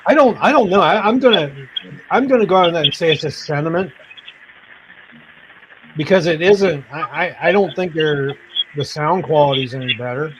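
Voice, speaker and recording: A man with a fairly high voice hosts a casual podcast, rambling from one anecdote to the next. He laughs easily and restarts his sentences, with a faint noise floor under the recording. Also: moderate pace, pauses often, rough recording. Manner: rambling, laughs often, repeats himself. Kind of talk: casual chat.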